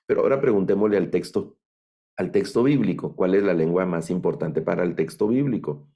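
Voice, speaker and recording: A middle-aged man with a Guatemalan accent giving an online lecture on biblical languages, thoughtful and halting, with many fillers and restarts. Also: low voice, dark tone. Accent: Guatemalan Spanish. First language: Spanish. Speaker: Bible language instructor.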